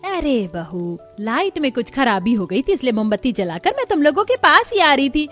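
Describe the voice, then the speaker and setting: A woman playing women of a joint family in a Hindi cartoon story, with expressive, earnest dramatic delivery. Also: high-pitched, moderate pace, soft background music. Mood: concerned, explaining. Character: women of a joint family, dutiful daughters-in-law and mothers-in-law.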